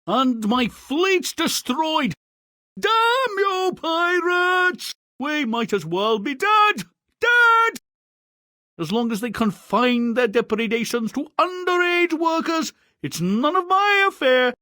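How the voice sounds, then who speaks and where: A cowardly, callous merchant father from a comic fairy-tale game. The voice is high and very changeable in pitch. He whines with pompous melodrama, recorded dry. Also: big pitch swings, slow, bright, forceful tone, dry recording, heavily acted. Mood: despairing fury. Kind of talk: comic video game cutscene acting.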